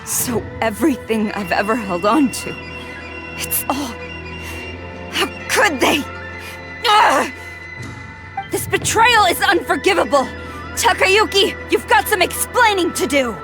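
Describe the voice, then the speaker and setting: A woman's voice: high-pitched, big pitch swings, slow pace, pauses often, exaggerated voice. A woman playing a proud, loyal samurai general in a video game, speaking with formal, earnest resolve. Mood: shocked, hurt, then furious. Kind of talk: dramatic video game voice acting. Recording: music playing behind.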